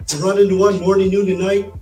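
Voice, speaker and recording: Echoey, distant high-voiced man talking casually. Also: level tone, quick pace. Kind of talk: casual spoken remarks. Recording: echoey, distant clip under music.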